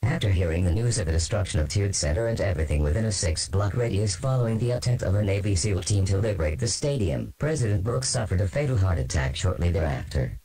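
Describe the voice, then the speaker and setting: A man playing a solemn presidential representative, giving a grave, formal, measured address to the nation in a scripted broadcast drama. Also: low voice, expressive, dark tone, slightly rough, full character voice, rough recording. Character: solemn male presidential representative announcing a tragedy. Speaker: government spokesman.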